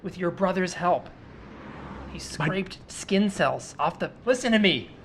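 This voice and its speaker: A man playing an earnest, obsessive boyfriend in improvised comedy, pleading in a halting, over-dramatic way. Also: sits high, expressive, a bit bright, full character voice. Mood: pleading, insistent. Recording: clear street ambience with vehicle noise. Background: added outdoor city soundscape with traffic.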